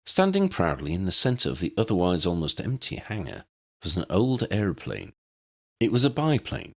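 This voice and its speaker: A man's voice: low-pitched, wildly swooping pitch, moderate pace. A man narrating written fiction in a scripted storytelling style. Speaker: audiobook narrator.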